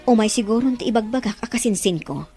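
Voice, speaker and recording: A woman's voice: fairly high pitch, expressive, quick pace, warm tone, soft background music. A woman with an Ilocano Filipino accent playing an anxious, loving middle-aged mother in an audio drama. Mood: tender, hopeful. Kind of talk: radio-drama dialogue.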